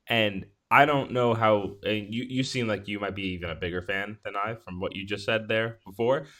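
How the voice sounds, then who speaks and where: A man co-hosting a podcast, talking casually in a rambling, halting way as he thinks aloud. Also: on the low side, steady tone, pushed and bright. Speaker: podcast co-host. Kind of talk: casual chat.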